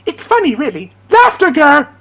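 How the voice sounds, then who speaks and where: Manic cartoon alien villain: high, screechy, pompous, bombastic delivery. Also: male voice, huge pitch swings, moderate pace, vocal fry, fairly bright tone, heavily acted. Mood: gloating, manic.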